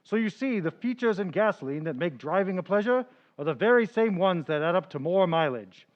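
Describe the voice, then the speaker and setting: A man reading an old-time radio gasoline commercial with a brisk, upbeat salesman delivery. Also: high voice, lively intonation. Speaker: announcer. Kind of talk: vintage radio commercial read. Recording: dull lo-fi old recording.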